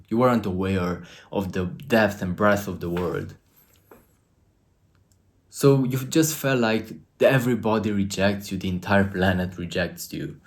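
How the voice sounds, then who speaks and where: A man with a Romanian accent speaks in a very deep, low register. He coaches his viewers directly to camera, earnest and emphatic, in the lecturing tone of a motivational talk.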